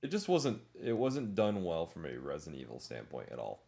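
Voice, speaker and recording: A man co-hosting a podcast, discussing films casually in a measured, hedging, conversational way. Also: low voice, wildly swooping pitch, vocal fry, dark tone. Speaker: podcast co-host.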